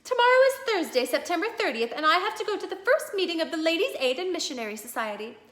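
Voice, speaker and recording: A woman's voice: very high voice, big pitch swings, fast talker, fairly bright tone, voice pushed up, exaggerated voice. A woman reading aloud, voicing a thrifty, worried housewife who scolds sharply. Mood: fretful. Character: fussy thrifty housewife, middle-aged.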